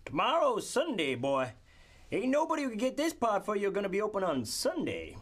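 A man's voice: high-pitched, huge pitch swings, fairly quick, fairly bright tone, exaggerated voice. A man playing a folksy, foreboding country mechanic with a rural American accent in an audio drama. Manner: drawling folksy grammar, blunt warnings.

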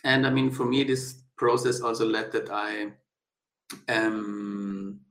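A man's voice: fairly low pitch, little pitch movement, takes it slowly, fairly bright tone. A man from South Tyrol in northern Italy, a guest architect in a casual interview, talking in a thoughtful, hesitant way with many fillers and restarts over a remote call. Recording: echoey room.

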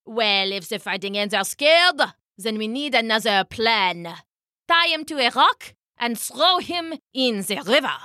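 A greedy old storybook king, put on by a woman, who grumbles and drawls his orders. He speaks slowly and lower than the narration, close and dry. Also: animated, bright, forceful tone, dry recording. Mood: commanding.

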